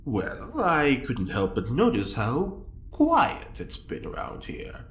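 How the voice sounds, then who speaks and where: A man playing a smooth-talking, nosy prisoner in an audio drama, theatrical and mock-polite as he wheedles. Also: mid-range voice, wildly swooping pitch, takes it slowly, exaggerated voice. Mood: sly, teasing. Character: smooth-talking, nosy male prisoner, slyly teasing the guards. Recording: echoey room with noticeable background noise.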